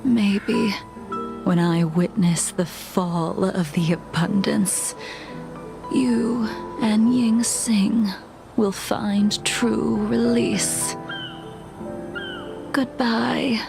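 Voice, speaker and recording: A woman playing a calm, weary swordswoman haunted by vengeance in a video game, speaking softly and solemnly with measured delivery. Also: mid-range voice, gravelly. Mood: solemn, resigned. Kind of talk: dramatic video game voice acting. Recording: background music over game dialogue.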